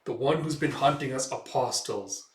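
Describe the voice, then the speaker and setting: Man as a cruel demon snake warlord, haughty, pompous, medium pitch, distant mic. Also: normal speed, close to an everyday voice. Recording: roomy, distant microphone.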